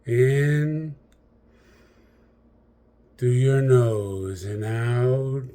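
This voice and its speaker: A man guides a meditation in a mellow, relaxed voice. He takes his time, setting out short, measured phrases with long pauses between them. The pitch sits in a middle male register, and he addresses the listener directly.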